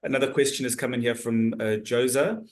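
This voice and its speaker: A man's voice: mid-range voice, fairly even intonation, brisk. A middle-aged South African man hosting an online talk, reading out audience questions in a friendly, loose way with frequent restarts. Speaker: host and moderator of a talk series. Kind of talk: moderating online Q&A. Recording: roomy echo on a video call.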